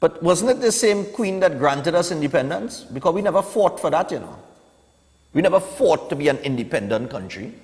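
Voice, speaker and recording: A man's voice: fairly high pitch, very animated, fairly quick, a little mellow. A man in his forties with a Trinidadian accent, hosting a live morning show in a conversational, opinionated way. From Trinidad and Tobago. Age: 40s. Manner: conversational, opinionated, peppered with "eh" and "you know". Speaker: morning television show host. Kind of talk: casual live talk and newspaper review. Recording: long hall-like reverb on the voice.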